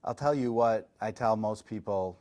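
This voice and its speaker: A middle-aged man, a chiropractor, answering interview questions in a relaxed, self-deprecating, chatty way. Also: on the low side, moderate pace. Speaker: chiropractor and massage chair reviewer. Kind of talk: casual podcast interview answers.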